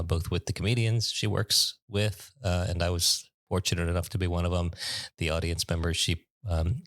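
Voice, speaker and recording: A middle-aged man hosting a podcast, talking casually with a halting, hesitant delivery. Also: deep voice, a bit bright, dry recording, clean studio sound. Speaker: podcast host. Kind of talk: casual interview and introduction.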